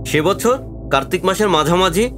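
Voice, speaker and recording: Man playing animated, knowing village man. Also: high voice, moderate pace, over music. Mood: grave, intrigued. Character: knowing village man recounting local murders. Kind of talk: audio drama dialogue.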